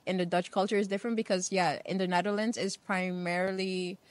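A young woman from the Caribbean, answering a street interview outdoors into a handheld mic in a measured, thoughtful way with many fillers. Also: on the low side, steady tone, dry recording. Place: outdoors on a wet city square.

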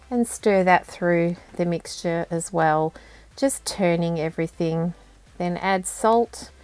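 A woman in her fifties with an Australian accent, hosting a home cooking tutorial and walking through each step in a relaxed, homely way. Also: lowish voice. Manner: relaxed, homely and unhurried, plain step-by-step instructions. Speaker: cooking channel host. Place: indoors at home.

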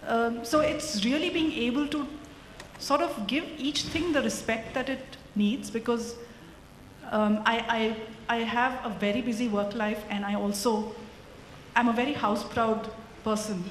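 A woman's voice: a little high, fairly even intonation, measured pace. A woman speaking as a panelist on a conference stage, reflective and reassuring, into a handheld mic. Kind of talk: panel discussion. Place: conference stage before an audience. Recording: echoey hall with faint noise.

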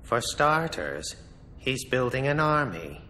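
A man's voice: medium pitch, big pitch swings, slow pace, sharp tone, a little hiss or hum, heavily acted. A man acting a wary, dutiful military advisor in a game cutscene, formal and cautioning. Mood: concerned. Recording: hall reverb, faint music.

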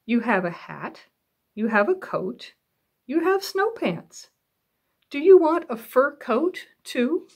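A woman voicing a patient, doting mother bear in a storybook, coaxing in a gentle singsong. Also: high-pitched, big pitch swings, slow, voice pushed up, heavily acted. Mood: questioning.

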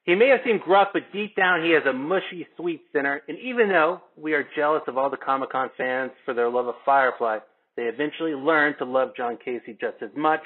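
A man's voice: fairly high pitch, fairly quick. A man co-hosting, reading out scripted introductions and narration. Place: remote video call. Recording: tinny, phone-like video call audio.

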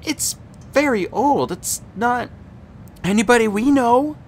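A narrator voicing a sharp, skeptical teenage girl with a sarcastic edge. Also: medium pitch, expressive, slow, slight background noise, voice pushed up. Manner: sarcastic, clipped.

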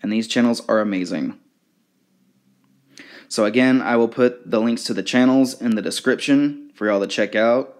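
A man talking casually and a little haltingly, presenting items in an informal show-and-tell vlog. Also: medium pitch. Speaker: video creator showing fan merchandise.

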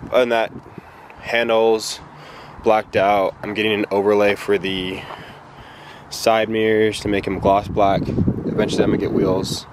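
A young man with a deep, low voice rambles easily to a camera held close. His talk is loose and unhurried. Steady hiss and noise sit behind him. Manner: laid-back, rambling, low-key. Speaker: fitness vlogger. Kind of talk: casual vlog narration. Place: apartment kitchen and parked car. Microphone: handheld camera held close.

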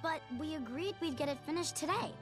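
A woman's voice: sits high, fairly quick, music in the background, lightly acted. An actress playing a sensible, insistent teenage girl in a video game, earnest and chiding. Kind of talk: video game cutscene acting.

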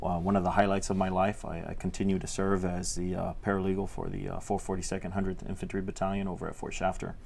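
A young man from Tonga, a state legislator answering interview questions in a halting way, full of fillers and restarts. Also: sits low, little pitch movement, creaky, darkish tone, a little hiss or hum. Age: young adult. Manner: hesitant, many fillers and restarts. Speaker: legislator guest.

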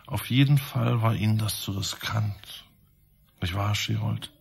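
An actor playing a relentless, sarcastic police inspector probing suspects in a radio crime drama. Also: male voice, lowish voice, moderate pace, gravelly, breathy edge, exaggerated voice. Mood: sardonic, accusing.

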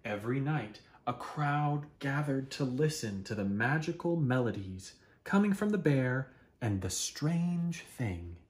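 A man in his thirties reading a children's picture book aloud expressively, with dramatic, deliberate pauses. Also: medium pitch, lively intonation. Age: 30s. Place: small living room at home, indoors.

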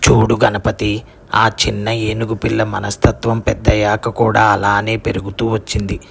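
Man as a low-voiced wise forest sage. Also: monotone, normal speed, gravelly, breathy edge. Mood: instructive. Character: wise, compassionate male forest sage telling a fable. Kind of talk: cartoon moral story voice acting.

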